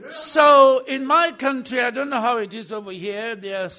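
A man preaching with a very high, bright, ringing voice. He hammers points home with sudden loud bursts, then drops into quiet asides. He is a visiting preacher at the pulpit. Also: fairly even intonation, normal speed. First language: not English. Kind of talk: sermon.

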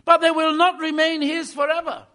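A man preaching with a very high, bright, ringing voice. He hammers points home with sudden loud bursts, then drops into quiet asides. He is a visiting preacher at the pulpit. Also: little pitch movement, normal speed. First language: not English. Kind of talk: sermon.

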